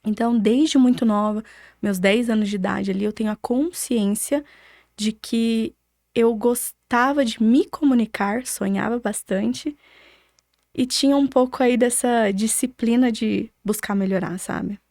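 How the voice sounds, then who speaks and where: A woman's voice: on the high side, a little slow, a little mellow, no room sound. Brazilian woman telling her story, dry sound. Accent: Brazilian, Paraná. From Cambé, Paraná, Brazil. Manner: reflective, talks in short listed phrases. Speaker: podcast guest, architect. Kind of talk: casual personal storytelling.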